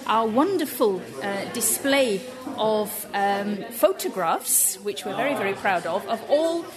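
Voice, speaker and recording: A woman's voice: medium pitch, lively intonation, measured pace, music in the background. A woman, a college communications director, speaking in a chatty, enthusiastic way with fillers while giving a tour. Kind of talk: interview on a guided tour.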